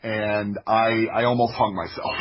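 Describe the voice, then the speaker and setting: A man's voice: on the low side, dull audio. An American man casually telling personal anecdotes in a wry, self-deprecating way. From Florida, United States. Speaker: podcast guest, storyteller.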